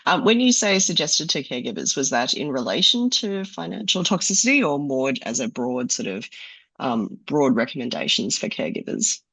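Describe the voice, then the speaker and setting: A man with an Australian accent answering audience questions at a medical conference, measured, with lots of fillers. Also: medium pitch, big pitch swings, gravelly, bright, forceful tone, low-quality recording. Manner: measured, hedging, many fillers.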